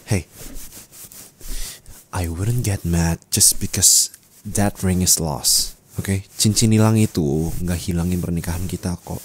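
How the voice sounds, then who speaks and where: A man playing a gentle, caring husband in an ASMR roleplay, speaking in a tender, coaxing, reassuring way. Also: deep voice, slight background noise. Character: gentle caring young husband comforting his distressed wife.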